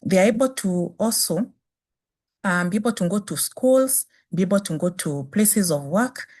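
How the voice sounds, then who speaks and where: A woman with a Kenyan accent, a native Swahili speaker, giving a measured webinar presentation on nursing with frequent restarts. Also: lowish voice, darkish tone. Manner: measured, repeats phrases with restarts. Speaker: guest speaker, nursing dean.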